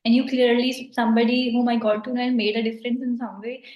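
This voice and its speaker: A woman hosting an interview podcast, asking questions in an earnest, halting way with fillers. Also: fairly high pitch, flat delivery, darkish tone. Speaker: podcast host. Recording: echoey room.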